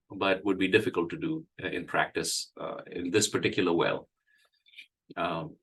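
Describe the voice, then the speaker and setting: Hoarse low-voiced man presenting. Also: fairly bright tone, low-quality recording. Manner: halting, with many fillers and restarts. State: hoarse from a cold. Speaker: engineering presenter. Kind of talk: technical presentation at an online meeting.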